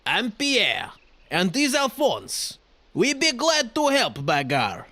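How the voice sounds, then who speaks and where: A man's voice: extremely high pitch, huge pitch swings, takes it slowly, bright, forceful tone, no room sound, exaggerated voice. A man voicing a sneaky, smirking crook's henchman in a cartoon comic dub, sly and mock-folksy. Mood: falsely friendly.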